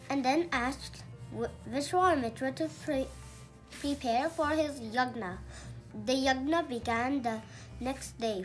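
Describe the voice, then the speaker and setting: A young child narrates a mythological tale with a very high, lively voice that swings up and down. The reading is slow and deliberate, with strong emphasis and the odd stumble, and music plays along with it. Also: poor audio. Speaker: young storyteller reading aloud. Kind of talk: read-aloud mythological storybook narration.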